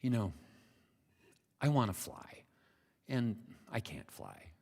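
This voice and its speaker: Guy doing a modest little frog from a kids story, earnest n halting, swoopy pitch, big echoey hall. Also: wildly swooping pitch, vocal fry, a little mellow, breathy edge, heavily acted. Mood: modest, unsure. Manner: halting, modest, plain-spoken. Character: earnest young male frog longing to fly. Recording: live hall with long reverb.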